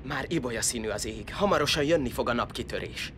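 A man's voice: mid-range voice, lively intonation, a bit bright, a little hiss or hum, natural voice. An actor playing a grave, watchful desert clansman in a sci-fi audio drama. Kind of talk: science fiction audio drama acting.

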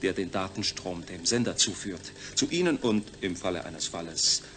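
Ein Schauspieler spielt in einem deutschen Hörspiel einen jovialen Mediziner, der sachlich doziert. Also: male voice, deep voice, animated, moderate pace, fairly bright tone, a little hiss or hum. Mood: lecturing. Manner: didactic, technical. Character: jovial, lecturing male medical scientist. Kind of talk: German radio play acting.

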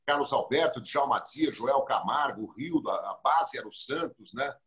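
A man's voice: mid-range voice, moderate pace, highs cut off. A man with a Brazilian Portuguese accent hosting a talk show over a video call, telling stories and acting out quotes from other people. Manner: storytelling, lively quoting of others. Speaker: host. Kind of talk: casual talk show chat. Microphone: video call webcam.

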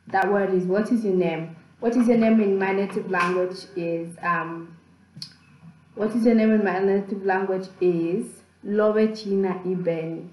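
A young woman from the Democratic Republic of the Congo, a native Kibembe speaker, chatting upbeat on a webcam vlog in a small plain room, teaching words of her language. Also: medium pitch. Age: young adult. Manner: chatty and upbeat, with fillers and restarts. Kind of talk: casual vlog lesson. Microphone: webcam in front of her. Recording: echoey room.

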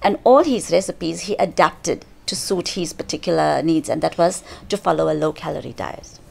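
A woman's voice: low-pitched, moderate pace, creaky. A middle-aged woman, chatting in an interview about her son's cooking and diet, seated in an indoor lounge. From South Africa. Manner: chatty, animated, with frequent restarts and hand gestures. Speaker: interviewee, cricketer's mother. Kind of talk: casual interview chat.

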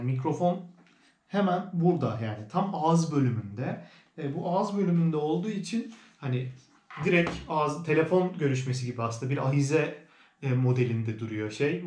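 Man, slightly high pitch, casual review style, explanatory, conversational, noticeable room echo. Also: a little mellow, slight background noise. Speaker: vlogger reviewing gear. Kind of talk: casual product review to camera. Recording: roomy indoor echo.

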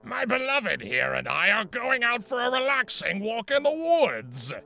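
A man plays a lovestruck monster villain in a storybook drama. His gravelly, bright voice swoops sappily and theatrically over background music. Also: extremely high pitch, big pitch swings, unhurried, full character voice.

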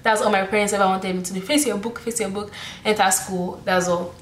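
A woman's voice: medium pitch, brisk, slight background noise. Nigerian girl in her twenties rambling to camera, lots of like, roomy bedroom sound. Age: 20s. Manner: chatty, expressive, peppered with "like". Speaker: YouTuber. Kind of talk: casual Q&A vlog. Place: bedroom, indoors.

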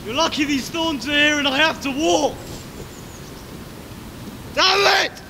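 A man's voice: very high-pitched, slow pace, background noise. A young man acting in an amateur short film outdoors, bewildered and exasperated. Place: outdoors in a park. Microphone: distant camera mic.

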